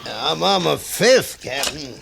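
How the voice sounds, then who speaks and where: Sly rustic trooper on burial duty, creaky highish voice, drawling, noisy vintage radio recording. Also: male voice, lively intonation, slow, full character voice. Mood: grimly matter-of-fact. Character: sardonic, grumbling folksy cavalry gravedigger soldier. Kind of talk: old-time radio western drama acting.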